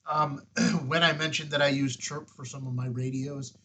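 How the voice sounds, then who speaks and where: A man with a slightly husky voice hosting a casual hands-on tutorial in a folksy, plain-spoken, conversational way. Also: mid-range voice, little pitch movement, normal speed, sharp tone, a little hiss or hum. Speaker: host of a ham radio tutorial channel.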